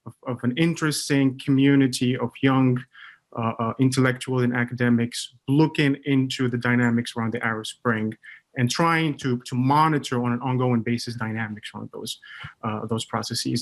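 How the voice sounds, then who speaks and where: A man's voice: mid-range voice, fairly even intonation, moderate pace. A man in his thirties from Morocco, speaking as a guest on a panel webcast, with many fillers and restarts. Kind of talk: casual panel chat.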